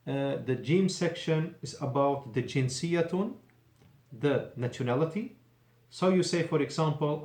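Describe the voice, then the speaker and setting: Man teaching Arabic in an echoey room. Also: a little high. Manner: explains patiently, repeats phrases, mixes English with Arabic examples. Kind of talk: classroom Arabic lesson. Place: mosque classroom.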